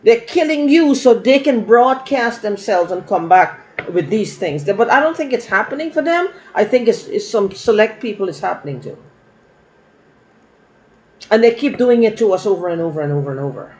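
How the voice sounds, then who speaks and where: A woman's voice: medium pitch, animated, moderate pace. A woman vlogging in a rambling, aggrieved monologue, circling back and repeating herself. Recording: dull lo-fi recording.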